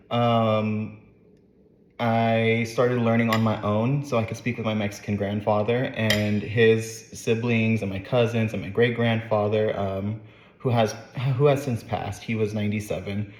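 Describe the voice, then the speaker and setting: A man's voice: fairly low pitch, roomy sound. A man in his late twenties from Northern California with a light Southern accent, chatting casually as a channel host, rambling with fillers and self-corrections. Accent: light Southern US with dropped R's. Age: late 20s. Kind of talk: casual talking-to-camera vlog.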